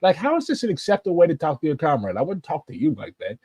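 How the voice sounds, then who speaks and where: A man's voice: on the high side, huge pitch swings, fast, darkish tone, breathy edge, no echo, clean studio sound. A man with a St. giving blunt, impassioned political commentary. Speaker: political activist.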